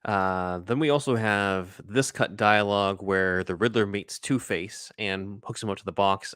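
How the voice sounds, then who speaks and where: A man's voice: deep voice, a bit bright, no room sound, clean studio sound. A man co-hosting a podcast, chatting casually and giving fan commentary. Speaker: podcast co-host.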